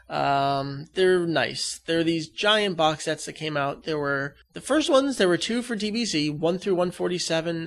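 A man's voice: fairly high pitch, vocal fry, fairly bright tone. A man hosting a podcast in casual fan chat. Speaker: podcast host.

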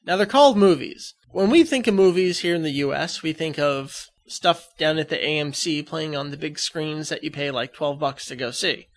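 Creaky, higher-voiced American man. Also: normal speed, hardly any pauses. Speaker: podcast co-host. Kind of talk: casual discussion of anime fandom.

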